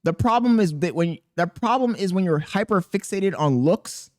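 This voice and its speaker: A man with a squeaky voice talking casually and profanely to camera as a content creator, rambling and animated. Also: moderate pace, no echo, clean studio sound. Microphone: podcast dynamic mic.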